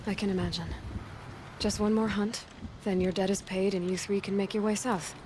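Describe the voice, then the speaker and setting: A woman's voice: medium pitch, fairly even intonation, moderate pace, slight background noise, lightly acted. A woman playing a young, determined huntress in a video game, plain-spoken and direct. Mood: friendly. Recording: music behind the voice. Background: loud music.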